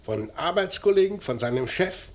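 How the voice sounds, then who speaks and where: An actor playing a terse police inspector in a radio crime drama, asking short, businesslike questions. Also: male voice, high-pitched, lively intonation, moderate pace. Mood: probing, restrained. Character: probing, terse male police inspector on a murder case.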